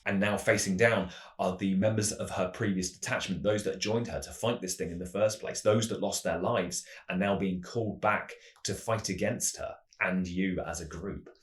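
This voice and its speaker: A man hosting a tabletop roleplaying channel, explaining ideas in a measured, storytelling way. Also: deep voice, fairly even intonation. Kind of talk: semi-scripted explanatory narration. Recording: roomy, echoing voice recording.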